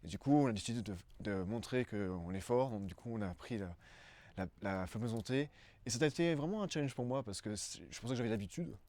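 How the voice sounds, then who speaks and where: A man's voice: lowish voice, expressive, fairly quick, darkish tone. A man in his twenties from Brussels giving a casual interview testimony, hesitant and often searching for words. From Brussels, Belgium.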